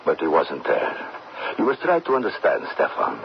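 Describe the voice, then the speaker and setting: Low, creaky-voiced fatherly country doctor. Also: wildly swooping pitch, full character voice. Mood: solemn, consoling. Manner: measured, consoling, fatherly. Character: kindly, grave middle-aged village doctor, family friend. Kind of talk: old-time radio drama acting. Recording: dull, faintly noisy old radio broadcast.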